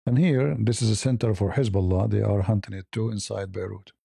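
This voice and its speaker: A man's voice: fairly low pitch, fairly even intonation, a little fast, creaky, warm tone, slightly rough, no room sound, clean studio sound. A man hosting a live news commentary stream, blunt and opinionated, rambling with hesitant fillers and speaking English as a second language. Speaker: host.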